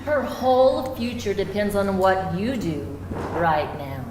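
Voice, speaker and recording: Woman acting out threatening, flirty mom villain, mid pitch, table read, distant sound, noisy room. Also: sing-song, slow pace. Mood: quietly threatening. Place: live event room before an audience. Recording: distant, roomy, clearly noisy live reading.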